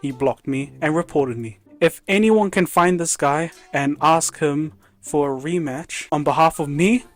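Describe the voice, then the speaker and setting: A man's voice: fairly high pitch, measured pace. A young man from New Zealand, a gaming channel host, giving laid-back, amused commentary over his gameplay footage.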